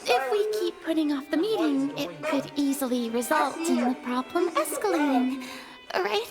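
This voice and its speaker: A very high, swooping voice brings out a young goddess of justice in a video game. She is proud yet anxious, theatrical and emphatic, with loud music behind her. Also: animated, moderate pace. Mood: insistent, defensive.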